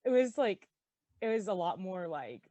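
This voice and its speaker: A woman's voice: mid-range voice, sing-song, moderate pace, dark tone, no room sound. A woman giving casual commentary as a podcast cast member in a group chat.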